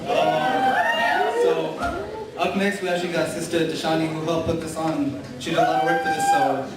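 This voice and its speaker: A man emceeing an open mic night, announcing the next act quickly and upbeat. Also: sits high, huge pitch swings, heavy background noise. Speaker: event emcee. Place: university event hall. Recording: echoey hall recorded from far off, loud crowd.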